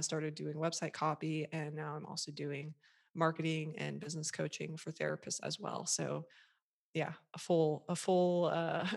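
Woman, low voice, podcast guest, earnest, reflective, conversational. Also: creaky. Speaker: podcast guest, marketing coach for therapists. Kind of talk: conversational interview.

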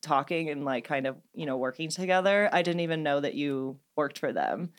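Smooth low-voiced bubbly woman host. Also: expressive. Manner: bubbly, effusive, quick to laugh. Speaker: podcast host. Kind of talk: casual podcast chat.

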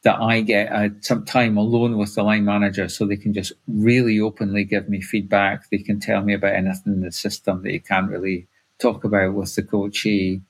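Man with a lowish voice, relaxed host asking questions, thinks aloud as he talks. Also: fairly quick, slightly rough. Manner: chatty, thinking aloud, with restarts. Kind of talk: conversational interview questions.

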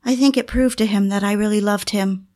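A woman's voice: mid-range voice, steady tone, fairly quick, darkish tone, natural voice. Woman playing an anguished, lovelorn housewife. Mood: confiding, uneasy. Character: anguished, obsessive, lovelorn housewife confiding in her diary. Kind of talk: intimate first-person audio drama narration.

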